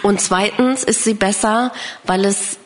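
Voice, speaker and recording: A woman's voice: mid-range voice, steady tone, measured pace. A woman interpreting a sermon live, phrase by phrase.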